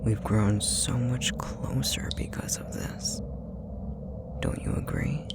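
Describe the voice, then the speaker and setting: A man voicing a possessive, manipulative demon in an intimate ASMR roleplay, whispering with a gravelly fry in a deliberate, taunting way. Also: fairly low pitch, fairly even intonation. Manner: slow, deliberate, taunting, condescending. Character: possessive, manipulative male puppetry demon, coldly menacing.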